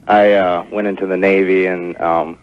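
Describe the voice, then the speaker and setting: Deep-voiced young caller, lo-fi radio. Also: male voice, flat delivery, normal speed. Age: 20s. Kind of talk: casual phone chat. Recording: dull lo-fi call on radio tape.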